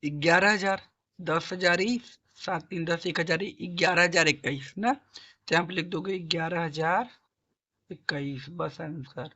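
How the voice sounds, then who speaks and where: A man works through math problems step by step. His throat is hoarse and sore, and he keeps prompting the class with questions he answers himself. The recording sounds dull and lo-fi. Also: fairly high pitch, steady tone, a little slow, pushed and bright. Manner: coaxing, asks and answers own questions, clears throat. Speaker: math tutor. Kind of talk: classroom-style math lesson.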